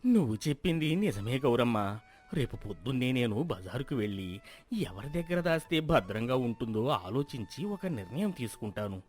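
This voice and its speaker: A man's voice: medium pitch, sing-song, moderate pace, darkish tone, lightly acted. A man playing an earnest, trusting, hardworking villager who speaks respectfully and plainly in a moral story. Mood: thoughtful.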